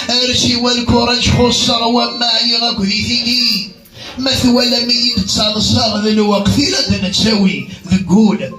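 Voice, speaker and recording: Male poet, high-pitched voice, reciting verse over music in an echoey space. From Kabylie, Algeria. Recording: roomy echo, music behind.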